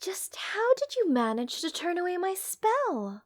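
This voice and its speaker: A woman's voice: extremely high pitch, sing-song, heavily acted. A woman playing a gushing, eccentric mage besotted with cursed creatures, in a rambling, whimsical fantasy ASMR roleplay addressed to the listener. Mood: excited, gushing. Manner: slow, rambling, doting, theatrically whimsical. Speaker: voice actor.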